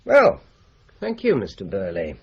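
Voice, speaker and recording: A polite male police inspector who keeps pressing with his questions. His husky, fairly low voice rises and falls widely. The old broadcast sound is dull. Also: wildly swooping pitch, measured pace, full character voice. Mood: probing. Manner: courteous, persistent questioning. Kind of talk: radio drama acting.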